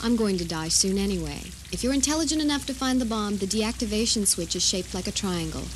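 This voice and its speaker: A woman playing a bitter, vengeful captor in an audio drama, speaking with sardonic, deliberate menace. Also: mid-range voice, animated, lightly acted. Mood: taunting, menacing. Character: vengeful doomed female captor, bitter, taunting, coldly menacing. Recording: faintly noisy radio drama recording.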